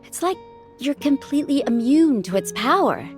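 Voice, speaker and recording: High-voiced caring healer woman. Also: sing-song, normal speed. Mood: reassuring, attentive. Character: caring, practical female healer, brisk and reassuring. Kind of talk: scripted game voice acting. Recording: music behind the voice.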